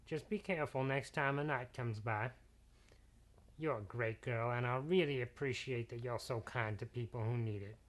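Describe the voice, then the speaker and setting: A man's voice: mid-range voice, normal speed, natural voice. A man voicing a kind, practical woodsman father who speaks to his daughter in a reassuring, fatherly way. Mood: gentle.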